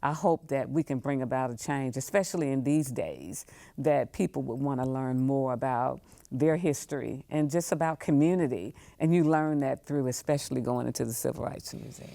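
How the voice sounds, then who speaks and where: Woman, very low voice, dry close sound, emphatic interview answers. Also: moderate pace, vocal fry, dark tone, dry recording. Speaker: museum leader, podcast guest. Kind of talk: conversational interview.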